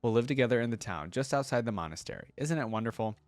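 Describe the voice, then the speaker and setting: A man's voice: medium pitch, animated, fast, a little mellow, no echo, close to an everyday voice. A man reading the part of an eager, hopeful young man who is engaged, in a storybook tale. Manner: eager, exclaiming.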